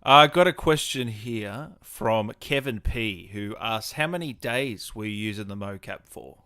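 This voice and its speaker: A man's voice: lowish voice, a little slow, sharp tone, clear, resonant tone. A man interviewing a guest in a casual chat, informal and inquisitive, reacting readily to what he hears. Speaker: interviewer, gaming show host.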